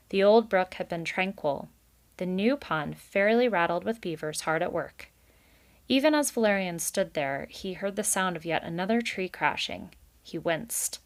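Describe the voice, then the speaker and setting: Woman storyteller, medium pitch, for expressive read-aloud narration of a children's book. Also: measured pace.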